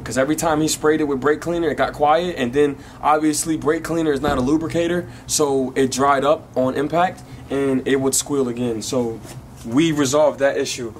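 A man's voice: on the high side, a little hiss or hum. A young man vlogging outdoors, casually walking viewers through a car he is inspecting, with lots of ums. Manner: relaxed, chatty, lots of ums. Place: outdoors in a driveway.

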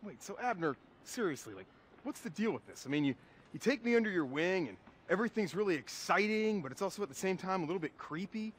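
Excitable high-voiced young trader. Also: male voice, fast, slight background noise. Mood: puzzled, uneasy. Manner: gushing, slangy, excitable. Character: eager, naive young male would-be stock trader. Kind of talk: satirical video game movie acting.

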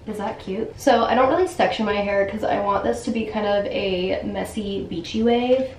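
A young woman vlogging casually at home, talking to the camera while doing her hair and starting dinner, with a relaxed, filler-heavy way of speaking. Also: medium pitch, normal speed. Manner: chatty, relaxed, many fillers. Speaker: lifestyle vlogger. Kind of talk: casual vlog talk to camera. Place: home bathroom and kitchen, indoors. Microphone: camera mic at arm's length or on a stand. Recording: echoey room, faint background noise.

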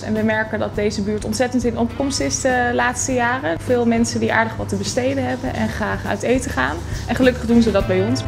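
A woman in her thirties with a slightly raised voice explains how their restaurant works. She speaks clearly, pausing now and then for a hesitation. Music plays clearly in the background. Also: fairly high pitch. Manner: tidy, explanatory, with occasional hesitations. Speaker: restaurant owner. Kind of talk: promotional interview talk. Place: restaurant interior. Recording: music bed playing underneath.

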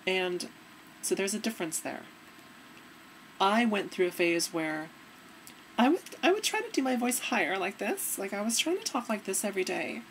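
A young woman with a fairly deep voice giving earnest, relaxed advice to her webcam in a dim room. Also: moderate pace, slight background noise, low-quality recording. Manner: earnest, relaxed, demonstrating as she talks. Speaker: vlogger. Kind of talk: casual advice vlog.

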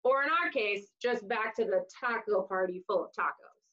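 A woman in her thirties reading a picture book aloud to young children, expressively, with exaggerated emphasis and drawn-out words. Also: mid-range voice, expressive, fairly bright tone, dull audio. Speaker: children's storytime reader. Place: small room indoors, seated on floor.

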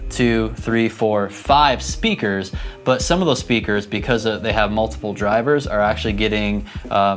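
A man's voice: fairly low pitch, fairly bright tone. A man in his thirties giving a conversational, practical tutorial to camera, speaking into a lapel mic. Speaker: church audio instructor. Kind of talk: explanatory tutorial talk to camera. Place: indoors, equipment room and church hall. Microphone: clip-on lapel mic.

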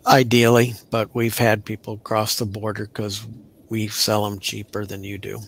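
A man's voice: on the low side, completely flat delivery, moderate pace, a bit bright, a little hiss or hum. A middle-aged man, a county extension program manager, talking casually over a headset on a video call with dry, easygoing humor. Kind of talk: casual live-stream chat.

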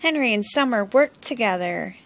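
A woman reading a children's picture book aloud in simple, expressive phrases for young listeners, recorded at home on a phone. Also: on the high side, normal speed. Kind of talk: children's storybook read-aloud. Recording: noisy home recording with clicks.

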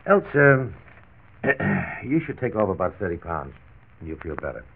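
An actor playing a frank, reassuring family doctor who explains firmly, in 1940s radio style. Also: male voice, deep voice, very animated, measured pace, gravelly, slightly rough, exaggerated voice. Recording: tinny 1940s radio transcription disc.

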